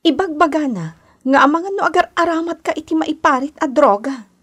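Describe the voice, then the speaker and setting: A woman's voice: high-pitched, lively intonation, fairly quick, fairly bright tone. A woman playing a scheming, lovelorn young woman in an Ilocano radio drama, pleading and insistent, with an Ilocano accent. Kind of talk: Philippine radio drama acting.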